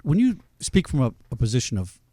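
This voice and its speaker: A man's voice: mid-range voice, no echo. A man hosting a radio talk show, interviewing a guest in casual, joking banter. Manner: joking, animated, quick banter.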